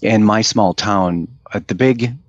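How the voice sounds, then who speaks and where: A man's voice: fairly low pitch, a little slow, highs cut off. A man, a guest in a casual interview, talking in a loose, rambling way with fillers and restarts. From United States.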